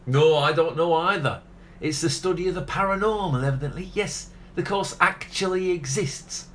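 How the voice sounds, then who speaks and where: A man's voice: a little high, normal speed, a bit bright, a little hiss or hum, natural voice. A man playing a proud, rambling middle-aged ice cream parlour owner with a South Yorkshire accent in a comedy radio play. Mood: chatty, proud. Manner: garrulous, rambling, cheerfully talks over others. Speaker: actor.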